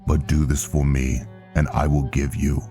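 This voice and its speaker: A man voicing a solemn, commanding vampire blood knight elder in a fantasy roleplay, with a gravelly, grave, measured and formal delivery. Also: extremely low pitch, flat delivery, over music. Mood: grave, persuasive. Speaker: character narrator in a roleplay story. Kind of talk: dramatic scripted fantasy roleplay.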